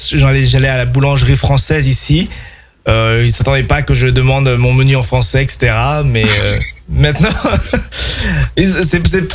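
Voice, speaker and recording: A young man co-hosting a casual talk show who often repeats words and stumbles as he talks. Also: medium pitch. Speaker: co-host living abroad. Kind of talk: casual podcast chat.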